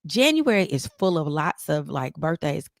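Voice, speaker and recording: A woman co-hosting a casual morning talk show, chatting in a folksy, animated way. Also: deep voice, normal speed, gravelly, no room sound, clean studio sound. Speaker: co-host.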